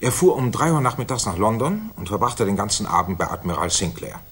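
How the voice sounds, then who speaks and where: Mycroft Holmes, a low-voiced, pompous senior official. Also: male voice, big pitch swings, a bit bright, slightly rough, full character voice. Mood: matter-of-fact. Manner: deliberate, formal, pompous. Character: Mycroft Holmes, weighty, authoritative, self-satisfied senior government official. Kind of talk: 1960s German radio drama acting.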